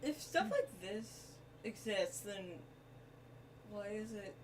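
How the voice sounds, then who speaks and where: Girl streamer chatting casually over her game, middle pitch, loud game noise behind. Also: expressive, slow pace. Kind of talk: casual live gameplay commentary.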